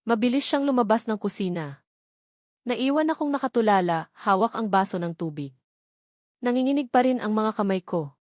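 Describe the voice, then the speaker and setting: A woman narrates a first-person romantic drama from a script, dramatically, in short halting phrases. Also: mid-range voice, lively intonation, a little mellow, no room sound, studio quality. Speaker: story narrator.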